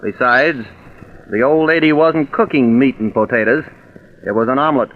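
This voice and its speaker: A man playing a shrewd, controlled prison warden with a measured, clipped manner in an old-time radio crime drama. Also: mid-range voice, lightly acted. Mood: commanding. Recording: muffled vintage radio recording.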